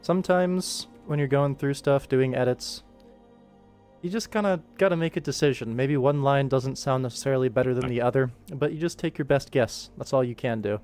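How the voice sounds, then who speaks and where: Male livestreamer, medium register, informal rambling director's commentary, soft game music behind. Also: mid-range voice, normal speed. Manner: informal, rambling, thinking aloud. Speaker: streamer, animation director. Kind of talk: casual livestream work commentary. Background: game ambient music playing softly.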